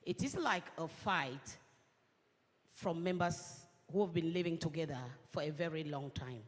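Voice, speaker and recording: A woman from Cameroon, speaking as a panelist in measured, earnest phrases. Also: low-pitched. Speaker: panelist, women's organization coordinator. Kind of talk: conference panel remarks. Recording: long reverb of a large hall.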